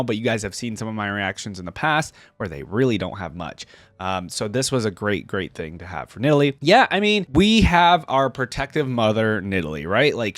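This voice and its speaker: A man's voice: mid-range voice, dry recording. Man, reaction video host, casual rambling commentary, talks directly to viewers, close dry recording. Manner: conversational, addresses viewers directly.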